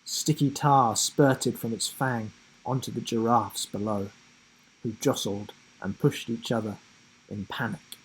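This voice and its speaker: A man reading a children's story aloud as narrator, in an expressive, dramatic way. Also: mid-range voice, a little slow, husky, rough recording.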